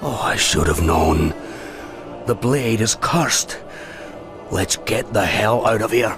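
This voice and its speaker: An actor playing a gruff, fatherly old dwarf warrior in a fantasy game, a cautious and loyal mentor with a measured delivery. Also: on the low side, animated. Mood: grave warning. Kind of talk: fantasy video game cutscene acting. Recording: game music behind the voice.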